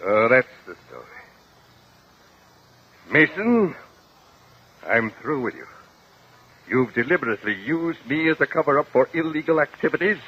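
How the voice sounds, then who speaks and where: An actor playing a stern older judge and strict uncle, commanding and scolding, in a 1950 radio drama. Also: male voice, medium pitch, very animated, normal speed, long pauses, breathy edge. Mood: stern, indignant. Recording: muffled vintage 1950 radio recording.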